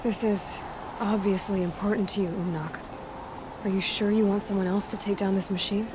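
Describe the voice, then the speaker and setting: Woman as young game huntress, medium pitch, direct and understated, noisy background. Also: fairly even intonation, moderate pace, natural voice. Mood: earnest. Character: capable, plainspoken young female huntress. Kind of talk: video game dialogue.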